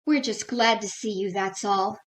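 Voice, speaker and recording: A woman playing an excitable, doting housewife in an old-time radio comedy, with a theatrical, gushing delivery. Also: medium pitch, normal speed, natural voice.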